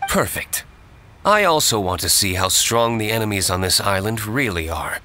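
Battle-eager young man, lower pitch, bright forceful tone, confident, video game. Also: lively intonation, moderate pace.

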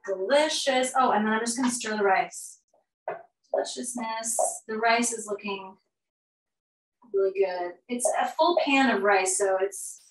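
A middle-aged woman leads a live cooking class in her home kitchen, chatting and hurrying as she cooks. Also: medium pitch. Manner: chatty, upbeat, many fillers while cooking, moves around the stove. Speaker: nutrition educator hosting a live cooking class. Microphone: laptop or fixed camera across the kitchen. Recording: roomy kitchen sound from a distance.

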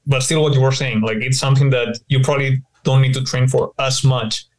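A man's voice: mid-range voice, steady tone, a little fast, a little mellow. A man co-hosting a podcast, speaking casually and rambling with many fillers as he works through an idea. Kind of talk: casual discussion.

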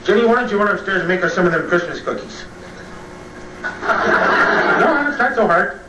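An actor playing a jaded, boozy old children's storyteller on a TV comedy sketch, halting, slurred and muddled, often trailing off. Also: male voice, sits high, lively intonation, recorded from a distance. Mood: boozy, indifferent. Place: TV studio living-room set. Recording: noisy, roomy old TV tape, off mic.

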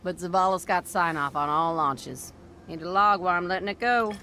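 An actress playing a brisk young female mechanic, wry and teasing, in a game cutscene. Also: fairly low pitch, pushed and bright, slight background noise. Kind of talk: video game cutscene acting.

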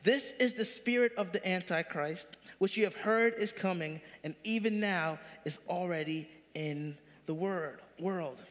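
Pastor, 30s, high-pitched male voice, animated sermon delivery, big reverberant hall. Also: unhurried, hardly any pauses. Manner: animated preaching, rising punchy questions. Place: large church stage.

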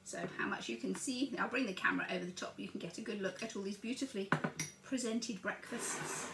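A woman's voice: medium pitch, brisk, very noisy. An older woman chatting through a breakfast recipe in her home kitchen, talking each step through as she works. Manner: chatty, narrates each step while working. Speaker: home cooking vlogger. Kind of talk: casual cooking demonstration. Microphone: camera across the counter. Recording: echoey kitchen with clattering dishes.

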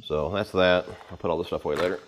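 A man in his thirties giving a casual, unscripted walkthrough to camera in an informal, rambling way, with pauses as he handles gear. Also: low-pitched, steady tone, slight background noise. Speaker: vlogger giving a boat tour. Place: home garage with the door open.

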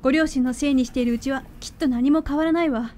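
A woman's voice: sits high, steady tone, fast talker, a little mellow, natural voice. A woman playing a polite, frank young music student in a radio drama, composed and direct. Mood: firm, frank. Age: young adult.

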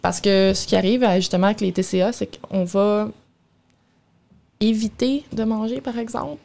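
A woman's voice: mid-range voice, fairly even intonation, normal speed, vocal fry, dark tone. A young woman with a Québécois French accent explains things in a casual, informal interview style. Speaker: guest nutritionist. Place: small radio studio, indoors. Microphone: boom-arm studio microphone with headphones.

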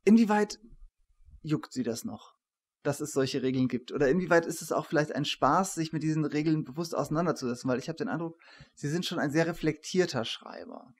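A man's voice: fairly high pitch, brisk. A man in his forties co-hosting a literary interview, putting questions in a conversational style. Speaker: interviewer, co-host. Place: attic room, indoors. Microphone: shared desktop microphone on a video call.

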